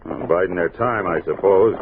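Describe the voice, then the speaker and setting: An actor playing a gruff, plain-spoken army sergeant in an old-time radio western, with a folksy, laconic manner. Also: male voice, sits low, moderate pace, noisy background. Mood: wary, musing. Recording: narrow-band vintage radio, busy background.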